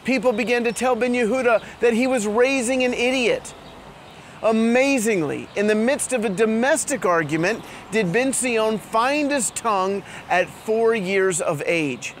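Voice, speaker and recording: A man's voice: high-pitched, a little slow, slight background noise. A man hosting, presenting in an earnest, scripted storytelling way outdoors in a town street. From immigrant to Israel. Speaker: host.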